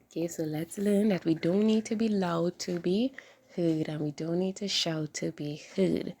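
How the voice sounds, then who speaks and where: A woman hosting a children's story time, reading aloud in an animated, expressive way. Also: fairly low pitch, moderate pace, breathy edge.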